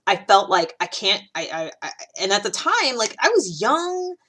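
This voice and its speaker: A woman's voice: medium pitch, big pitch swings, moderate pace, pushed and bright. A woman in her thirties from Ohio, talking casually on her podcast in a rambling way, full of restarts and fillers. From Ohio, USA. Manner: chatty, heavy use of "like", frequent restarts. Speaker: podcast host. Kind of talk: casual personal monologue.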